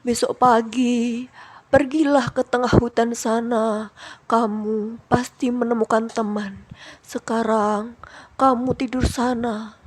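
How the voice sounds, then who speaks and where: Woman acting elderly grandmother, very slow and drawn-out, gentle, patient, soothing, children's storybook. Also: fairly high pitch, level tone. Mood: reassuring.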